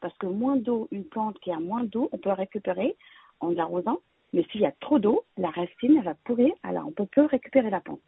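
Woman, very raspy voice, gravelly fry, hesitant with fillers, expert guest, narrow phone-like audio. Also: medium pitch, animated, fairly quick, no echo. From Vietnamese heritage, living in France. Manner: hesitant, many fillers and restarts. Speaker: interior plant decorator, guest expert. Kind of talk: conversational expert advice. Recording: dry, phone-like narrow sound.

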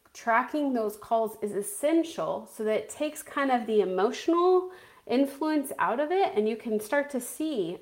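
Woman, medium pitch, business coach talking directly to viewers. Instructional but conversational, emphatic on key words, checks in with the listener. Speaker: business coach for private practice therapists. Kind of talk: instructional vlog talk to viewers.

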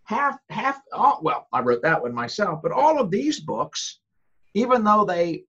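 Lively, husky older American man. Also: sits high, unhurried, dull audio. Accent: Southern American. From United States. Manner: animated storyteller, laughs often. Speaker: podcast guest, author. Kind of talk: casual interview talk.

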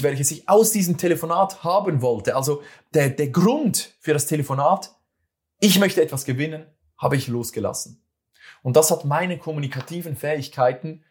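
A man with a Swiss German accent speaks in an earnest, motivational way, addressing the listener directly. He restarts and repeats phrases as he talks, and his pitch sits in the middle range for a man. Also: darkish tone. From Switzerland. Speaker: speaker giving communication advice. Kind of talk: motivational monologue.

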